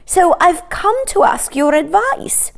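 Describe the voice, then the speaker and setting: Lady doing a sad polite storybook cow, high voice, slow and earnest. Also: lively intonation, creaky, full character voice. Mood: plaintive. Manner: plaintive, earnest. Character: distressed, polite, simple red cow who cannot stop dancing.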